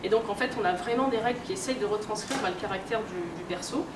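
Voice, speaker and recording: A woman lectures informally at a convention, heard from well away from the microphone in an echoey room. She explains things in everyday language, often hesitating and repeating words as she goes. Loud noise runs behind her voice. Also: medium pitch, fast. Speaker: guest speaker, researcher and game publisher. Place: room at a convention, recorded from afar. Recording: distant roomy mic, loud background noise. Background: loud ambient noise.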